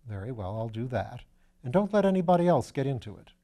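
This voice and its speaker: A man's voice: mid-range voice, big pitch swings, fast, mellow. A man voicing a sensible, sceptical detective with a dry, plain-spoken manner in a storybook reading. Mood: dry, doubtful.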